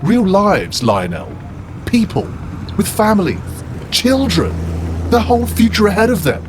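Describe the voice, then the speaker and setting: A self-righteous demigod warlord, as a man puts him on, preaches with earnest indignation. His pitch swings widely as he lectures. A steady hiss of noise sits behind the voice. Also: unhurried. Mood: indignant.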